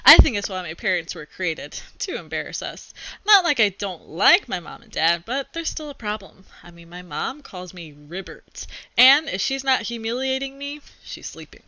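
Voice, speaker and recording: Lady reading as a fed up schoolkid boy telling his storybook, wry and whiny, bright voice, bit muffled recording. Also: mid-range voice, big pitch swings, moderate pace, dry recording. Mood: wry, complaining. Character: exasperated, self-deprecating schoolboy narrator of a comic children's book. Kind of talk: expressive storybook read-aloud.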